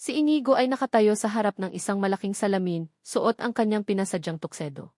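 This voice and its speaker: A woman's voice: medium pitch, fairly quick, dark tone, no echo. Casting: female storyteller, dramatic romance narration, mid-range voice, close dry recording.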